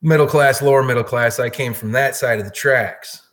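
A man's voice: medium pitch, moderate pace, fairly bright tone. A man in his fifties from Wisconsin, a podcast guest talking in a friendly, rambling way. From Wisconsin, USA. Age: 50s. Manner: friendly, rambling, repeats words. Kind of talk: casual interview talk.

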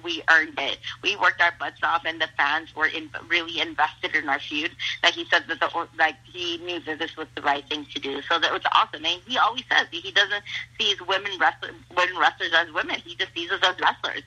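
A woman's voice: low-pitched, steady tone, brisk, sharp tone, husky, low-quality recording. A young woman from Mexico whose first language is Spanish, a pro wrestler chatting upbeat and gushing in a casual interview, full of "like" and "awesome". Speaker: professional wrestler.

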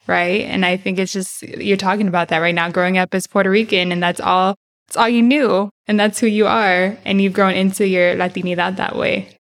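A woman hosting an interview podcast, effusive and chatty, dropping Spanish phrases into her English. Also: on the low side. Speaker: podcast host. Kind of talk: warm enthusiastic interview chat.

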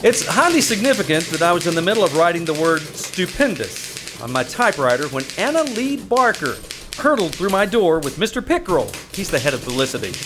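A man's voice: on the high side, very animated, a little fast. A man playing a wisecracking, sardonic Hollywood publicity man in old-time radio comedy style, with dry, ironic teasing. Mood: narrating, wry. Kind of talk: old-time radio comedy acting with first-person narration. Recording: live stage show with music underneath.

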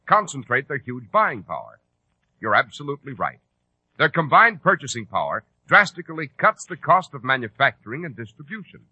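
An American man working as a 1940s radio announcer, delivering a polished, emphatic sales pitch. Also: pushed and bright, breathy edge, no room sound. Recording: dull, lo-fi old radio recording.

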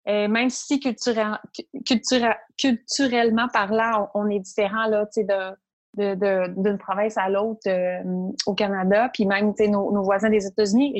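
A woman from Quebec with a Québécois French accent, an entrepreneur telling her story in an interview, lively and animated, often quoting her own inner voice. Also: medium pitch, moderate pace. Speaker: entrepreneur guest. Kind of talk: interview conversation.

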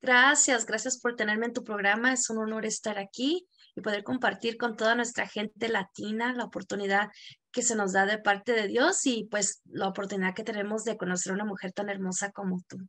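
A woman's voice: medium pitch, little pitch movement, brisk, a bit bright. A woman from El Salvador with a Mexican Spanish accent, telling her story earnestly as a podcast guest. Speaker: podcast guest, community leader. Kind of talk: personal testimony in an interview.

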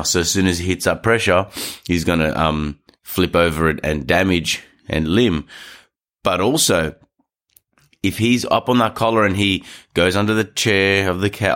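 A man's voice: deep voice, a bit bright. A man with a nasal, stuffy voice from hay fever, talking casually about dog safety as a podcast host, rambling through a story with restarts. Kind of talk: casual advice talk. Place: studio.

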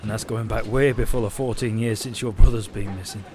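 A man's voice: on the low side, steady tone, a little fast, noisy background, natural voice. An actor playing a firm, matter-of-fact male official in an audio drama.

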